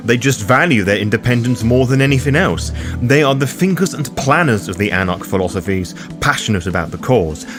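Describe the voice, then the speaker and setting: A man's voice: mid-range voice, animated, brisk. A man hosting a tabletop roleplaying lore guide, reading scripted explanatory narration. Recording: voice over loud music bed. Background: music.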